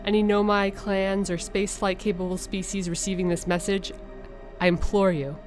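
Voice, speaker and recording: A woman's voice: mid-range voice, level tone, faint music behind, close to an everyday voice. A woman voicing a pleading alien distress call. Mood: urgent pleading. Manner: urgent, pleading, halting. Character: alien crew member sending urgent distress call.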